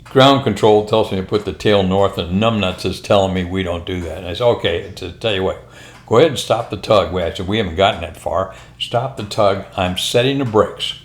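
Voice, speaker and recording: An older American man, a former pilot, telling anecdotes in a casual, unhurried, rambling conversational way. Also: deep voice. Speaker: retired military and airline pilot telling stories. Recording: roomy, echoing room sound.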